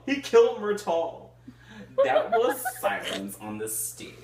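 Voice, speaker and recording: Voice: mid-range voice, huge pitch swings, slow pace. A person giving an amateur comedic reading of a novel aloud, giddy and easily derailed into giggly, sweary, theatrical asides. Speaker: reader in a comedic group book reading. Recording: roomy echo, faint background noise.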